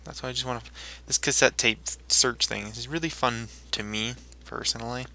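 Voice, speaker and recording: A man's voice: medium pitch, moderate pace, sharp tone. A man hosting a gaming video, rambling casually and talking to himself as he plays. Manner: rambling, talks to himself while playing. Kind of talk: casual let's play commentary.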